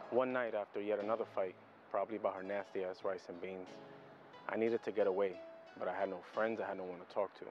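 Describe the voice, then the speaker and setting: A man performing a troubled figure confessing a painful past in an emotive, poetic film monologue with pauses and hushed drops. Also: on the low side, highs cut off, natural voice. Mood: brooding, reminiscing. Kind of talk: dramatic film monologue with rhymed spoken-word poetry. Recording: roomy, echoing amateur film sound.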